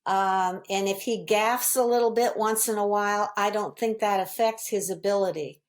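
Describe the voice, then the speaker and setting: A woman's voice: mid-range voice, fairly even intonation, normal speed. A woman in her seventies from Virginia, a voter speaking firmly and plainly. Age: 70s. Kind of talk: interview clip.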